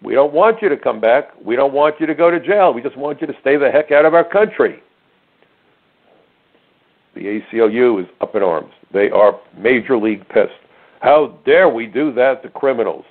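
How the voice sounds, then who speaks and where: A man's voice: mid-range voice, animated, normal speed. An older man hosting a radio talk show, telling stories emphatically with sarcastic asides. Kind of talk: conservative talk radio monologue. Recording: narrow phone-like radio audio.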